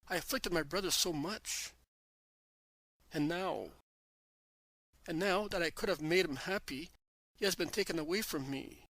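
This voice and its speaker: A man's voice: on the high side, bright, forceful tone, slightly rough, dry recording, exaggerated voice, rough recording. A man putting on a sobbing grieving woman. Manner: anguished, pleading, breaking cries. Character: grieving young woman disciple, sobbing, pleading.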